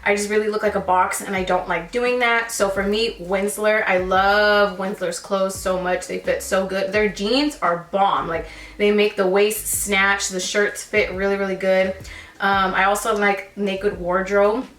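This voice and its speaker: A woman's voice: medium pitch, fairly even intonation, unhurried, a bit bright, reverberant, far from the mic. A woman in her twenties chatting casually as she answers viewer questions about lash work, calling her viewers girl and sis. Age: 20s. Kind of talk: casual Q&A chat while sipping wine.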